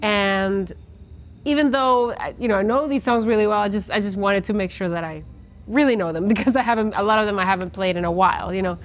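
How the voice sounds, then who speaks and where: A woman's voice: medium pitch, fairly quick. A woman in her forties from Guatemala, a musician answering interview questions in a casual, chatty way, often restarting her sentences. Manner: chatty with many restarts and fillers. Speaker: musician guest. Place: outdoors at a festival.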